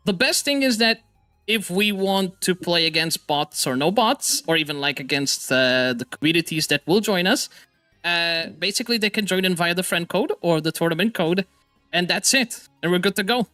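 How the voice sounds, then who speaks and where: A man's voice: high-pitched, lively intonation, sharp tone, no echo, soft background music. A man hosting a live gaming stream, goofy and upbeat, joking with his guest and explaining how to join a game. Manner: goofy, upbeat, fast-talking. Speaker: stream host. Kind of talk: casual gaming stream chat.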